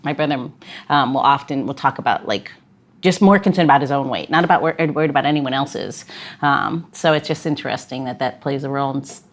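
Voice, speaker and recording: A woman's voice: extremely low pitch, a little fast. A woman hosting a podcast interview, chatty and giggly with lots of fillers. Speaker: podcast host. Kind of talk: casual chat interview.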